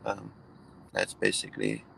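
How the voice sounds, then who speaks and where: A man from Iran, raised in Germany, talking in a relaxed conversation with many hesitant fillers and restarts. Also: rumbling low, unhurried, vocal fry, a little hiss or hum. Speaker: guest. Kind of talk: casual conversation.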